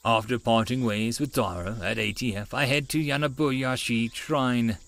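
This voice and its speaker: A man's voice: mid-range voice, fairly even intonation, normal speed, a bit bright. A man narrating a first-person story as an audiobook, with dramatic delivery.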